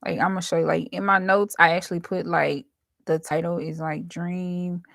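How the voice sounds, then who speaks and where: Woman, lowish voice, dry close sound, casual vlog chat, informal colloquial storytelling. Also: level tone, moderate pace, creaky, a bit bright, dry recording. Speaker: host, dream interpreter.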